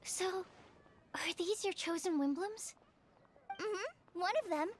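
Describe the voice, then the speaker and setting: A woman voicing a sweet, earnest young girl in a video game, gentle and sincere. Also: very high voice, takes it slowly, slight background noise. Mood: tender, grateful.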